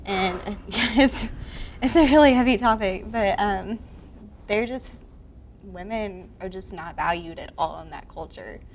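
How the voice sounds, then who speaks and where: A woman's voice: medium pitch, a little slow. Young woman, charity run organizer, earnest interview delivery, mid-range voice, faint room hiss. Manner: earnest, with ums and uhs.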